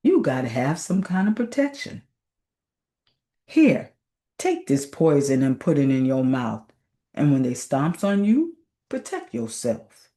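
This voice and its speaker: A folksy, patient God from a storybook folktale, played by a woman reader. He reasons things out slowly in Southern dialect, with a mellow, low tone and lively turns. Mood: reassuring. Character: God as a male, deliberate, folksy folktale authority.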